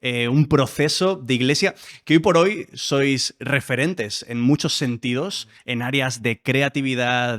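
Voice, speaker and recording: A man hosting a church podcast, opening with energy and asking long, winding questions. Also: medium pitch. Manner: energetic, upbeat opening that grows softer while asking questions. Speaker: podcast host. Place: creative studio in Madrid.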